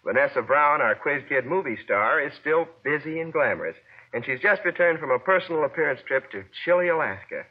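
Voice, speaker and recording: A man's voice: fairly high pitch, lively intonation, fairly quick, slightly rough. Young man on dull old-time radio. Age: young adult. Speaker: guest correspondent, former child quiz contestant. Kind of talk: scripted 1940s radio news roundup. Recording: dull lo-fi vintage 1940s radio broadcast.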